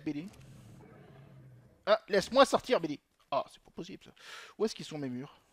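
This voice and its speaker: A man's voice: on the high side, fairly bright tone, no room sound. A young man commenting on his gameplay in a chatty way, swearing often and talking to himself. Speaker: gaming channel host.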